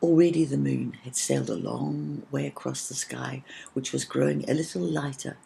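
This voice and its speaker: A woman narrating a children's story from a script, with expressive storytelling and gently acted dialogue. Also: very deep voice, moderate pace, gravelly, breathy edge. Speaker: audiobook reader.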